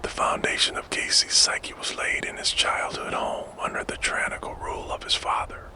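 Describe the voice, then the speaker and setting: A man narrating true crime in a soft, fully unvoiced ASMR whisper, slow and measured, close to the microphone.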